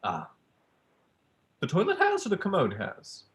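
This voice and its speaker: A man's voice: high voice, wildly swooping pitch, measured pace, a bit bright, full character voice. Young guy acting polite awkward visitor in a play, hesitant, voice goes up and down a lot. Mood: cagey, awkward. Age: young adult. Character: male visitor, polite, cagey flamboyant academic type. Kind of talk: staged play reading.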